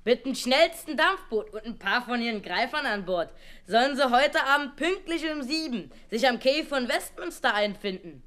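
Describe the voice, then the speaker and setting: A woman's voice: fairly high pitch, animated, moderate pace, a bit bright. A woman playing a cocky, irreverent young street boy in a German radio play. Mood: cheeky, self-important. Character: cheeky, pert London street boy.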